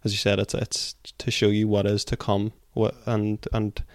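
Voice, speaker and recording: Low, even-voiced male book podcaster. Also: normal speed, no echo, clean studio sound. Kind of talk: thoughtful book discussion.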